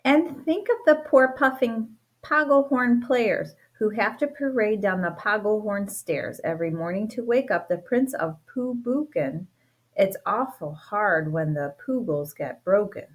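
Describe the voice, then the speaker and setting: Slow, playful woman reading a storybook. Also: medium pitch, rough recording. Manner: playful, expressive, sing-song rhyming. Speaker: teacher reading aloud. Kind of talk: storybook read-aloud.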